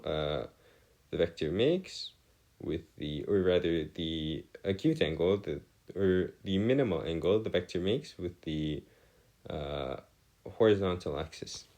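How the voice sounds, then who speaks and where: A man with a very low voice explains a lesson as a tutor. His pitch moves in lively swings. He chooses formal words, hesitates between short phrases and often corrects himself. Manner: formal wording, halting, self-correcting. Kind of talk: informal maths lesson over a digital whiteboard.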